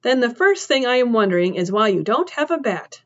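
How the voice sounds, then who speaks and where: A woman's voice: on the high side, big pitch swings, fairly quick, a bit bright, highs cut off. A woman voicing a tough, wary middle-aged farm woman with a faint sing-song accent, who questions sharply. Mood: interrogating.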